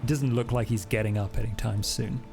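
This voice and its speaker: A man's voice: fairly low pitch, fairly even intonation, brisk, creaky. A man working as game master, improvising the narration of a tabletop roleplaying game. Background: music.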